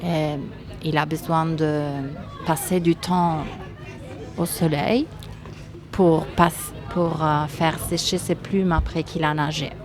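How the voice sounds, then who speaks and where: Low-voiced woman with an Italian accent. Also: flat delivery, slow, over music, a crowd in the background. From Italy. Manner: hesitant, thoughtful, many fillers. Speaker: guest. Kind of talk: casual interview answers.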